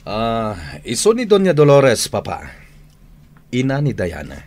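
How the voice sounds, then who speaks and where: An actor playing an urgent, deferential grown son in an Ilocano radio drama. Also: male voice, medium pitch, wildly swooping pitch, measured pace, darkish tone.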